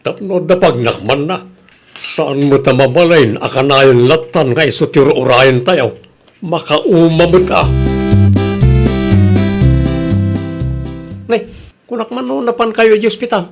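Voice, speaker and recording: A man's voice: a little high, normal speed, creaky, soft background music. A man acting in an Ilocano comedy radio drama as a nagging, reproachful relative who lectures on punctuality, with an Ilocano accent. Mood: grumbling.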